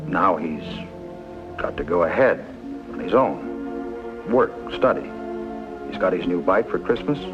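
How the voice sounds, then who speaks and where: An actor playing a kindly, measured surgeon in a 1950s television medical drama. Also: male voice, low voice, lively intonation. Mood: reassuring, gentle. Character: kindly, measured male surgeon explaining and reassuring. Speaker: doctor. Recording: dull vintage television soundtrack, music behind.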